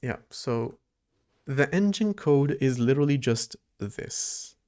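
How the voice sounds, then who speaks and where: A man's voice: medium pitch, lively intonation, slow, darkish tone. A man streaming live while he programs, thinking aloud in a loose, rambling way and answering his chat as he goes. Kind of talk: casual livestream chat while coding.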